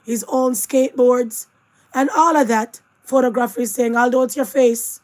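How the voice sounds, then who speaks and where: A hoarse woman recaps celebrity news in a casual way. Her voice sits fairly high, and she keeps breaking off to talk straight to her viewers. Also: steady tone, moderate pace, darkish tone. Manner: chatty asides, addresses viewers directly. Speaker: commentary host.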